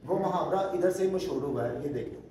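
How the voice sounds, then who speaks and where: A man's voice: on the high side, normal speed, recorded from a distance, background noise. Man guiding a tour in echoey stone halls. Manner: explanatory, asks if listener follows. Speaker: tour guide. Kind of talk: guided tour explanation. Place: inside an old stone fort, in and out of doors.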